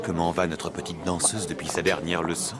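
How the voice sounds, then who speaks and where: Courteous Montmartre painter for a cartoon, male actor, very low voice, music underneath. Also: flat delivery, brisk, close to an everyday voice. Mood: wry, polite. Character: courteous Montmartre painter, wistful about the past. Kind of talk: French animated series dialogue.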